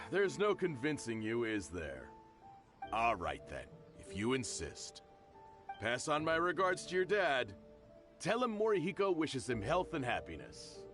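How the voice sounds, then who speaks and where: A man's voice: mid-range voice, wildly swooping pitch, measured pace. A man playing a genial, self-deprecating middle-aged shopkeeper and father in a video game. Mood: generous, insistent. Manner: friendly, familiar, insistent. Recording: music under the voice.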